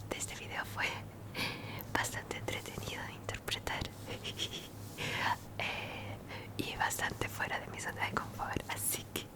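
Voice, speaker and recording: A woman whispers in ASMR style, softly repeating words and phrases. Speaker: ASMR creator and channel host.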